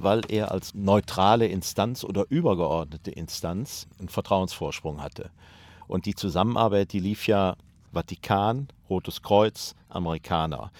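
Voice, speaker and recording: A man's voice: on the low side, a little mellow, no room sound. A man giving factual, explanatory commentary in spoken interview style. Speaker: documentary commentator.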